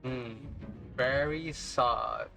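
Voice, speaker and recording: A man's voice: mid-range voice, huge pitch swings, very slow, gravelly, pushed and bright, faint music behind. A man streaming a game, reacting casually in short slangy mutters and reading on-screen text aloud.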